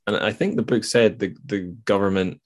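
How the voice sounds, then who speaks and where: A man's voice: lowish voice, moderate pace, no echo, clean studio sound. A man hosting a casual literary discussion podcast, thinking aloud in a tentative, hedging way. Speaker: podcast host.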